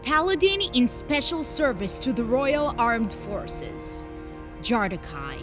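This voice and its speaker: A woman's voice: a little high, sing-song, slow pace, over music. A woman voicing a teenage girl paladin who formally states her record in an audio drama. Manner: formal roll-call delivery.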